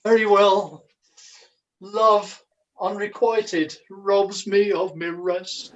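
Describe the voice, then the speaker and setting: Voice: mid-range voice, flat delivery, drawn-out words, lo-fi sound. An amateur actor playing a melancholy, gullible pantomime jester with a theatrical, declamatory delivery, recorded at home over a video call. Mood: mournful. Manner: theatrical, declamatory, archaic wording.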